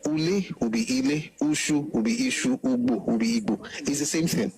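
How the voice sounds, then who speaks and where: A man's voice: mid-range voice, a little fast, crowd noise. A middle-aged man with a Nigerian Yoruba accent giving an opinionated commentary monologue, emphatic and full of asides.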